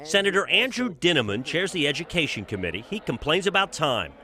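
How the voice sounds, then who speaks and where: Bright, animated American male news reporter. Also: a little high, brisk. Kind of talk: scripted broadcast news narration.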